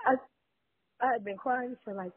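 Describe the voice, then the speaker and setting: A woman's voice: on the high side, normal speed. A woman crying as she leaves a grateful thank-you message, speaking in a tearful, heartfelt way. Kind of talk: emotional recorded voice message. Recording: tinny phone-line recording.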